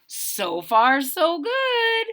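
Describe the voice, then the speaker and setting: Lady doing a read-aloud for kids, bright voice, swings up and down a lot, explains as she goes. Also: very high voice, very slow. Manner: animated, dramatic, explains the story as it goes. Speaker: children's story reader. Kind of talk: expressive read-aloud with explanatory asides to children.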